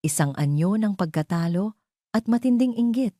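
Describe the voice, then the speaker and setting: A woman's voice: medium pitch, expressive, moderate pace, warm tone, no room sound, clean studio sound. A woman narrating a scripted romance story.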